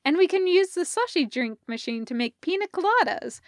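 A woman's voice: very high voice, sing-song, fairly quick, no room sound, clean studio sound, pitched above the performer's own voice, exaggerated voice. A woman voicing a quirky, eager, mischievously affectionate young woman in a story, with childlike delivery. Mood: excited. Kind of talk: storybook character voice.

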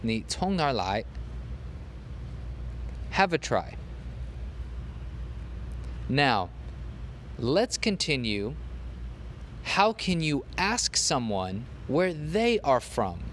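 A man teaching a podcast language lesson, repeating example phrases slowly for learners. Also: medium pitch, sing-song, fairly bright tone, slight background noise.

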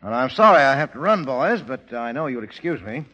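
An actor playing a cool, cocky undercover cop posing as a racketeer, sardonic and clipped, American, in a 1930s radio drama. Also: male voice, medium pitch, very animated, a little fast, sharp tone. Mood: cool, confident. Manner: sardonic, self-assured, clipped. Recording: muffled lo-fi 1930s radio recording.

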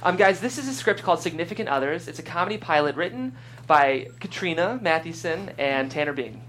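A woman's voice: low voice, animated, a little fast, fairly bright tone, a little hiss or hum. A woman hosting a podcast and reading scripted stage directions as narrator. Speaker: host and stage-direction reader.

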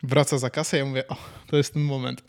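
Young guy podcasting, average pitch, slangy blunt way of talking, casual storytelling. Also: little pitch movement, fast, gravelly. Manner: colloquial, blunt, swears casually. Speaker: podcast co-host. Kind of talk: casual chat, anecdote telling.

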